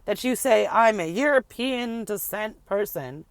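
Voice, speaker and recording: A woman's voice: mid-range voice, lively intonation, unhurried, no echo, studio quality. A middle-aged woman ranting casually to camera, rambling and emphatic, repeating words for effect. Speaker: vlogger.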